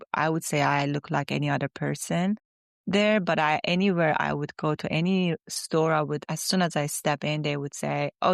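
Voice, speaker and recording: Woman in her 40s, interview guest, gravelly voice, thoughtful and halting, dry close sound. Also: deep voice, no room sound. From Iran. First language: Farsi. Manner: reflective, halting, with restarts. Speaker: guest psychotherapist. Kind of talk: conversational interview.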